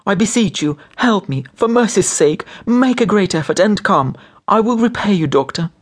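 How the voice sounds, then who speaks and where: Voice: medium pitch, lively intonation, normal speed, voice pushed up, natural voice. A reader voicing a distraught young wife, pleading in a tearful, urgent way, in a story. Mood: frantic pleading.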